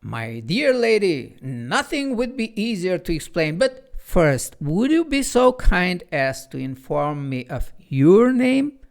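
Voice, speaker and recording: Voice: mid-range voice, sing-song, takes it slowly, vocal fry, hardly any pauses, heavily acted. A storyteller voicing a pompous, theatrical male clock spirit who speaks with an affected lisp.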